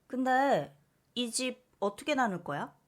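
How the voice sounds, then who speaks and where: A woman's voice: fairly high pitch, lively intonation, a little slow, pitched above the performer's own voice. A woman voicing a greedy, shameless middle-aged mother in a story, pushy and accusing. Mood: brazen, grasping. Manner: pushy, accusing, whining demands.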